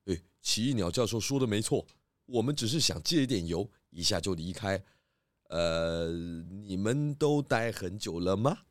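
Man playing a low-voiced pig detective. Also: expressive, normal speed, slight background noise, lightly acted. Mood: polite, inquiring. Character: polite, composed male pig police detective. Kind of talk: children's audio drama acting.

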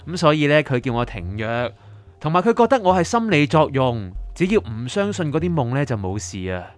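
An actor playing an anxious, sleepless writer seeking help in a radio drama, pleading and doubting in Hong Kong Cantonese. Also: male voice, a little high, very animated, fairly quick, dry recording. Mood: troubled. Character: troubled, sleepless male writer seeking his doctor's help. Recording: music underneath.

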